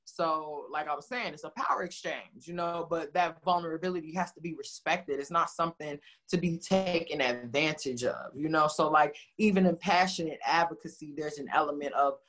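A woman with a deep, heavy voice speaking passionately as a guest in a casual interview over a video call, full of "like" and "you know". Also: moderate pace, few pauses. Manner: passionate, expressive, many "like" and "you know" fillers.

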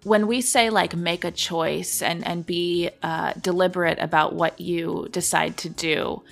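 A woman's voice: fairly low pitch, fairly even intonation, unhurried, creaky, a bit bright, soft background music. A woman chatting as an interview guest, explaining creative choices in a thoughtful, casual manner. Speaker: podcast guest, audio drama creator.

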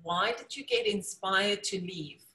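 Woman interviewer, mid-pitched, warm praise for the guest, echoey room sound. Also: measured pace. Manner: encouraging, complimentary. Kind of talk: interview questions.

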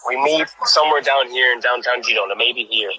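A man's voice: mid-range voice, fairly quick, pushed and bright, husky, poor audio. A man chatting enthusiastically on a video call as an interview guest, talking with many restarts and fillers. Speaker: guest, cyclist. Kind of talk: casual video call chat. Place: cycling town, showing a cafe on camera.

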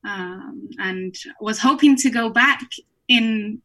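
A woman in her twenties from Peru talks as a guest on an online podcast. Her tone is bright and forward. She tells her story in a friendly way and laughs often. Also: a little high, takes it slowly, poor audio. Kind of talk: casual interview storytelling. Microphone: remote online recording.

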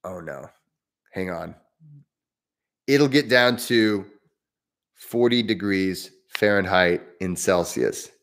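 A man's voice: low voice, takes it slowly, long pauses, a bit bright. A man from southern Indiana with a local accent, hosting a casual livestream and answering viewer questions in a rambling, chatty way with frequent restarts. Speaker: livestream host.

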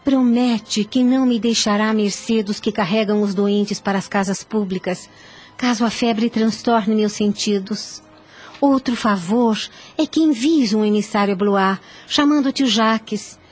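A woman's voice: medium pitch, unhurried, a little mellow, lightly acted. An actress playing a devout, anxious young noblewoman in a period radio drama, with an earnest, formal, pleading delivery. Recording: music under the voice. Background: background music.

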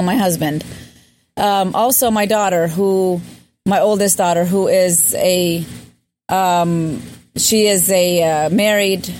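A woman's voice: medium pitch, darkish tone, slight background noise. A middle-aged woman giving a personal testimony in a voice message, hesitant and searching for her words. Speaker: listener leaving a voicemail.

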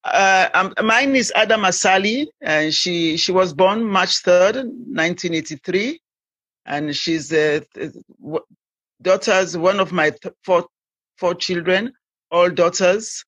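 A woman, sharing her story as an interview guest in a halting, hesitant way. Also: fairly low pitch, fairly bright tone, dry recording. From Sierra Leone. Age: 60s. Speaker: podcast guest. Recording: dull lo-fi audio.

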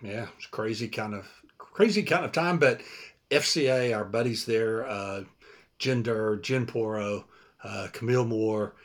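Host: man, mid-pitch, easygoing, calls people buddy. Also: measured pace. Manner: friendly, loose. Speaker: podcast host. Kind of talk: casual podcast chat.

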